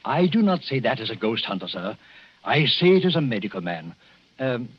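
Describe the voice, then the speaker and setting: An actor playing a grave, hesitant English doctor who gives ominous warnings in the formal, theatrical manner of 1940s radio drama. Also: male voice, mid-range voice, big pitch swings, normal speed, breathy edge. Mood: hesitant, courteous. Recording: dull 1940s radio recording.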